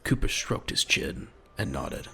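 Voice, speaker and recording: A man narrating a scripted audio drama in a measured, dramatic, gravelly voice. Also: sharp tone, soft background music.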